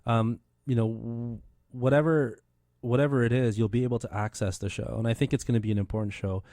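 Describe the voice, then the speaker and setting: Excited man hosting a radio show. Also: medium pitch, brisk, no echo, clean studio sound. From Philippine heritage. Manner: enthusiastic, rambling with many restarts. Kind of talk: casual radio interview.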